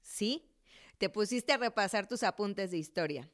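A woman's voice: medium pitch, lively intonation, measured pace, close to an everyday voice. An actress playing a knowledgeable, patient woman who explains history in a conversational, didactic radio drama dialogue. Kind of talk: scripted dialogue narration.

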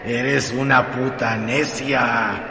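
A man's voice: medium pitch, steady tone, very slow. A man playing a crude, mocking village gossip with a Mexican accent in a radio drama, in a sneering drawl. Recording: echoey reverberant scene with noise.

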